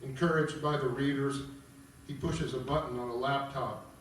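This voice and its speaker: A man's voice: medium pitch, steady tone, normal speed, noisy background. A man reading stage directions and explaining an opera to an audience as narrator of a table read. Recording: very echoey room, distant mic, music.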